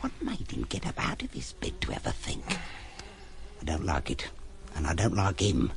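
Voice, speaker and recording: An actor in an audio drama playing a cunning, menacing ruffian henchman with rough, slangy, muttering speech that drops into whispers. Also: a bass voice, huge pitch swings, moderate pace, full character voice. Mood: suspicious, scheming. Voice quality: gravelly. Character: cunning, menacing cockney-style ruffian henchman, excitable and wheedling.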